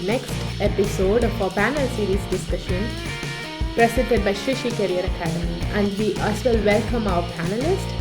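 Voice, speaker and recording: A woman's voice: medium pitch, moderate pace, loud music behind the voice. A woman introducing a panel discussion as its host, in a prepared, scripted style.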